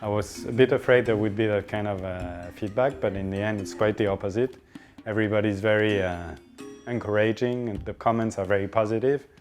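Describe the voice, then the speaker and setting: A middle-aged man from northern France with a French accent, a chef speaking informally in an interview in a halting, explanatory way with pauses mid-phrase. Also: lowish voice, steady tone, soft background music.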